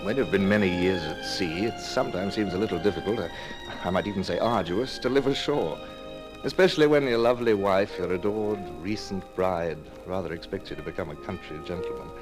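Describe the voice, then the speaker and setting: A restless retired English sea captain played by a man in a vintage radio drama, with music behind him. His voice is fairly low, and he speaks in a wry, reflective, theatrical way, pausing as he muses. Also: natural voice, rough recording. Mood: wry, wistful. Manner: musing, wry, hesitant, theatrical. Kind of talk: 1950s radio drama first-person narration.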